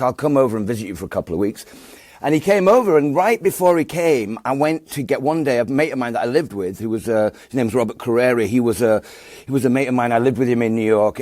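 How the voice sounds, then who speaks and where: A man's voice: mid-range voice, a little mellow, dry recording, studio quality. A man in his fifties with a Northern English Yorkshire accent, a guest telling a rambling story full of fillers and restarts. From England. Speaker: guest musician. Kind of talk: relaxed storytelling interview.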